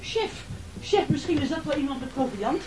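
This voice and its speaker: A man's voice: extremely high pitch, lively intonation, normal speed. An actor playing a servile, obsequious castaway sidekick who hesitates and echoes his chief in an absurd comic radio play. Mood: eager, excited. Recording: noisy old radio recording.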